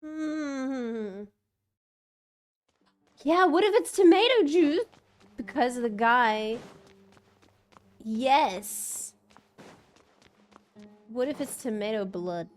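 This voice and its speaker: A woman's voice: high voice, expressive, drawn-out words, pauses often, soft background music. A woman game streamer giving casual, musing, playful live commentary.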